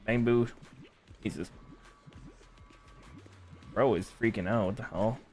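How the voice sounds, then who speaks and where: A man's voice: fairly low pitch, slow, darkish tone, faint music behind. A man giving casual, muttered playthrough commentary and swearing freely as he streams a game. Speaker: gaming streamer reacting to a game.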